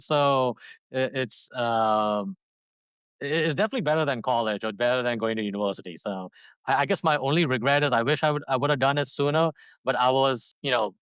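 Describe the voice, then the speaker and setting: A man's voice: medium pitch, fairly bright tone, clear, resonant tone, dry recording, lo-fi sound. A man from Dubai in his thirties, a podcast guest talking casually and rambling, with lots of fillers and restarts. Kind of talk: casual interview talk.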